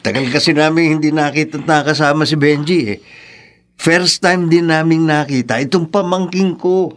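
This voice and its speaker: High-voiced genial Filipino older brother played by an actor. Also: male voice, steady tone, normal speed, natural voice. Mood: welcoming. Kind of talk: radio drama acting.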